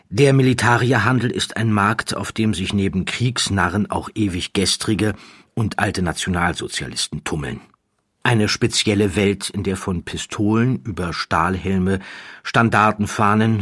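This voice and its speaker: Low husky guy narrating a true story, measured n a bit wry, dry close recording. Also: no echo. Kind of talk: scripted documentary storytelling narration.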